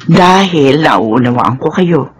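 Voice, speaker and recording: A woman playing a devoted, understanding middle-aged mother in a Filipino radio drama, with a tender, expressive delivery. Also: deep voice, takes it slowly, breathy edge, exaggerated voice. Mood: reassuring.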